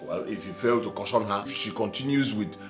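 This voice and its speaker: A man's voice: lowish voice, lively intonation, a little fast, music in the background, close to an everyday voice. A man playing an indignant elder brother in a family quarrel, stammering when angry. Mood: wounded.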